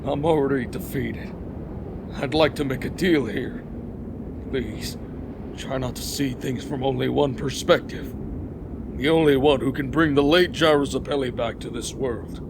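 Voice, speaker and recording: A man's voice: medium pitch, unhurried, lightly acted. A man playing a grave, calculating president in an anime fan dub, a villain speaking in a formal, persuasive manner, bargaining for his life. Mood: pleading, measured. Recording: background noise behind voice.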